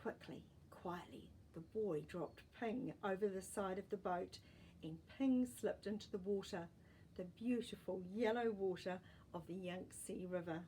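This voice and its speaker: A woman storyteller reading a children's story expressively, with deliberate pauses and dramatic emphasis. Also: medium pitch, dark tone, slight background noise, poor audio. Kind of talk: expressive storybook narration with asides to young listeners.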